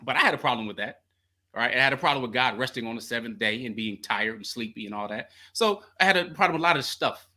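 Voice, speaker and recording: A man hosts a call-in show with a quick, bright, forceful delivery. He talks loosely and animatedly, slipping into slang and telling stories. His voice sits at an ordinary male pitch. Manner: animated, laughing, slangy storytelling. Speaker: call-in show host and coach. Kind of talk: call-in advice talk.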